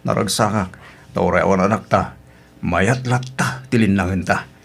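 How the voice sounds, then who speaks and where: A man with an Ilocano accent acting a good-natured, contented older husband and market vendor in an audio drama, with a husky, homely delivery. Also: deep voice, moderate pace. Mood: cheerful.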